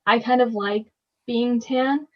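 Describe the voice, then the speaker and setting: Teen girl podcaster, kinda high voice, laughy and chatty, bit muffled audio. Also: fairly even intonation, takes it slowly. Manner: chatty, laughs often, lots of "like" and "you know". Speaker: podcast co-host. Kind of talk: casual chat. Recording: slightly dull lo-fi audio.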